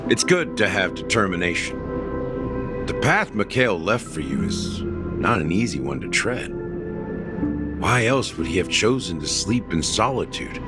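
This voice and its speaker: A wry, weary veteran, with a very low voice and a lively swooping delivery. He is curt and sardonic, a game character over loud music. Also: unhurried. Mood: wry, grave. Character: gruff, world-weary veteran man, wry and blunt. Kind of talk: video game cutscene acting. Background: prominent music.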